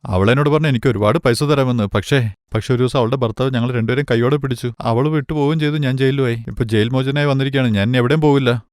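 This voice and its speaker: Man acting a shamefaced, pleading son, quick-spoken. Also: mid-range voice, no room sound, clean studio sound, natural voice. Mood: pleading, ashamed. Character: shamefaced wayward son begging his mother's forgiveness.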